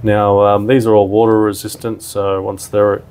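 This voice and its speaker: A man's voice: on the low side, level tone, moderate pace, a little mellow. A man narrating a hands-on product tutorial in an informal, step-by-step way. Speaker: product demonstrator.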